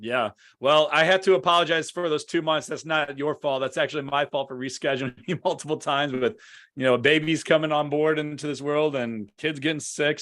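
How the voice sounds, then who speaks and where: A man's voice: mid-range voice, a bit bright. A man hosting a business interview show, promoting his community and introducing a guest in an upbeat, conversational way. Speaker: podcast host.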